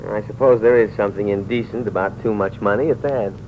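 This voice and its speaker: A man's voice: on the low side, steady tone, fast, lightly acted. An actor playing a mocking, wealthy man with a weak heart who needles his companion with dry wit. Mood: taunting. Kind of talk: 1950s radio drama acting. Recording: dull, noisy old radio recording.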